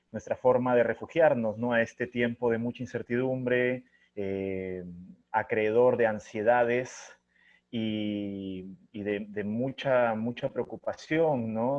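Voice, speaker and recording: A man's voice: lowish voice, a little mellow. A middle-aged man with a Peruvian Spanish accent, answering conversationally as an interview guest in a reflective, anecdotal way. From Chiclayo, Peru. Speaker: theatre artist and interview guest. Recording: dull, lo-fi audio.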